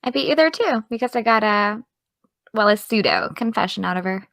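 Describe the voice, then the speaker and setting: A woman's voice: mid-range voice, expressive, fairly quick, creaky, fairly bright tone, no room sound, studio quality. A woman playing a needling, indignant young American woman in an audio drama, petulant and provoking. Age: young adult.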